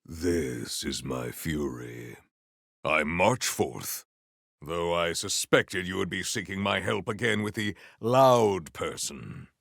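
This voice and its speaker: An actor playing a noble, earnest warrior hero in a video game, speaking in a pompous, formal and grandiose way. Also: male voice, deep voice, slow pace, vocal fry, fairly bright tone, dry recording, clean studio sound, heavily acted. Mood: grand, defiant. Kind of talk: video game character voice acting.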